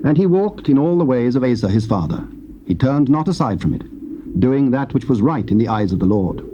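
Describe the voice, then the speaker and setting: A man narrating scripture in a measured, stately manner. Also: mid-range voice, very animated, mellow. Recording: dull tape with hiss.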